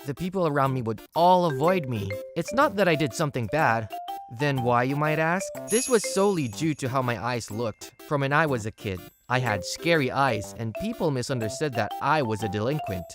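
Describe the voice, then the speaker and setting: A lonely, misunderstood schoolboy narrator, played by a woman with a low, animated voice. He explains himself matter-of-factly over prominent music in a manga story. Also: normal speed. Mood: gloomy. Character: lonely, misunderstood high school boy narrating his life. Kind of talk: scripted manga dub narration. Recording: prominent music bed behind voice.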